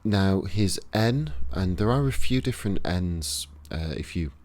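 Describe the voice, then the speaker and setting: A man with a Yorkshire accent, giving a casual, unhurried spoken lesson to camera with pauses and fillers. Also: sits low, lively intonation, darkish tone. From Yorkshire, England. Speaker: esoteric practitioner and channel host. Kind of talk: casual instructional talk to camera.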